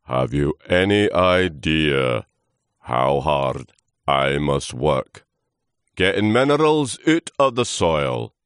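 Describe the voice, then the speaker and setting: An ancient, grumpy tree trunk from a storybook played by a man. It speaks very slowly and pompously, with weary groans and lofty scorn, swinging widely in pitch. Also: very animated, a bit bright, clean tone, no room sound, clean studio sound, full character voice. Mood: weary complaint.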